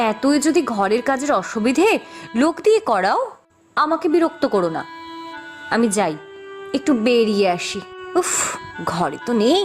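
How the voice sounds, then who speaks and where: A woman voicing a vain, lazy young village daughter in a cartoon, whiny, petulant and dismissive. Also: fairly high pitch. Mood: haughty, annoyed.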